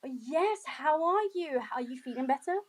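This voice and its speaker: A woman's voice: high-pitched, lively intonation, normal speed. A woman hosting a live online audio chat, bubbly, upbeat and chatty as she welcomes her speakers. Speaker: host. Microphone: remote audio stream.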